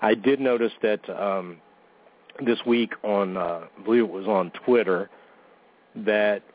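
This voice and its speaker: A man's voice: on the low side, a little slow. A man hosting a wrestling talk show, joking around and reading plugs in a goofy, self-deprecating way. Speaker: podcast host. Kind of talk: casual radio-show banter and announcements. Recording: tinny phone-like line.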